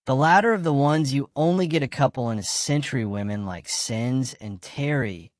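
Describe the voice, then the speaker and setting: A man playing a guarded, bitter widower in an audio drama, speaking in an earnest, halting, reflective way. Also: medium pitch, no room sound, studio quality, lightly acted. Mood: reflective, regretful. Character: guarded, bitter widower confessing a failed marriage.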